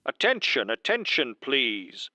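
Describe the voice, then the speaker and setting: A man's voice: mid-range voice, wildly swooping pitch, slow, sharp tone, no room sound, voice pushed down, full character voice. A man voicing a courteous, formal subway conductor in an audiobook. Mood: courteous, official. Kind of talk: audiobook character acting.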